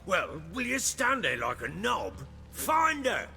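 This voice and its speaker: An actor playing a gruff, anguished middle-aged baron and father in a fantasy game, blunt and volatile. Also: sits high, wildly swooping pitch, bright, forceful tone, soft background music, full character voice. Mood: angry. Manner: blunt, anguished, slow and heavy. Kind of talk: video game voice acting.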